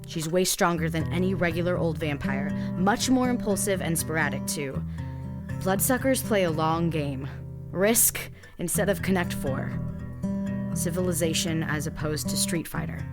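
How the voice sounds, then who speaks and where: Woman acting a low-voiced, sardonic captive young spy. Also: lively intonation, normal speed. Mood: bitter, wry. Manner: bitter, wry, sighing between phrases. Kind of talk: dramatic first-person monologue in an audio drama. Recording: music behind the voice.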